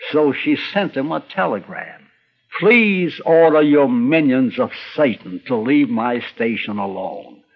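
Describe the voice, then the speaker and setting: An older man, a radio critic, reminiscing as documentary narrator with animated storytelling. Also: mid-range voice, measured pace, slightly rough. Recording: dull archival radio documentary recording.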